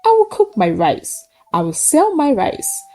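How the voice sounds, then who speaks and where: A woman's voice: high voice, huge pitch swings, unhurried, a little mellow, soft background music, pitched above the performer's own voice, full character voice. A woman voicing a proud, stubborn widow who cooks at market, speaking in short insistent declarations.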